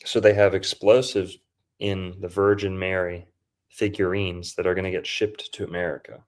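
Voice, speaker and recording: A man's voice: deep voice, fairly even intonation, normal speed. A man hosting a podcast, casually breaking down a movie in a loose, rambling way with lots of fillers. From Florida. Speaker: podcast host.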